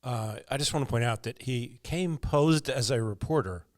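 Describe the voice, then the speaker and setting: A man's voice: medium pitch, normal speed, dry recording, clean studio sound. A man co-hosting a show, chatting casually with off-the-cuff commentary. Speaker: podcast co-host.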